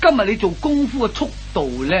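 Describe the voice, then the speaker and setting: A man's voice: high-pitched, sing-song, a little fast. A man playing a stern, incredulous office manager with a questioning, bossy way of talking in Hong Kong Cantonese, in old radio comedy style. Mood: brisk, bossy.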